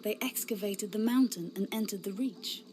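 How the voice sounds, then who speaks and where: A woman's voice: mid-range voice, moderate pace, a little mellow, a little hiss or hum, natural voice. A woman voicing a young, zealous female inquisitor in a game cutscene, speaking in an earnest, formal and fervent way. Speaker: narrator and game character.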